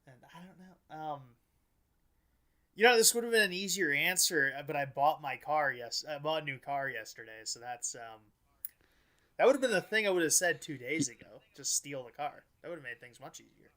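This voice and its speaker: A man's voice: fairly high pitch, animated, a little fast, bright, forceful tone. A man co-hosting a podcast, talking in a casual, conversational style. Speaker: podcast co-host.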